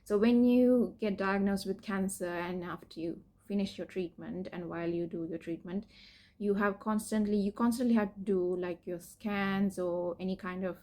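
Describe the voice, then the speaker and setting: Young woman from Sri Lanka, personal vlog, hesitant and candid with fillers, mid-pitched voice. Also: steady tone, normal speed. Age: young adult. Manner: hesitant, many fillers and restarts, soft laughs. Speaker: PhD student sharing her cancer story.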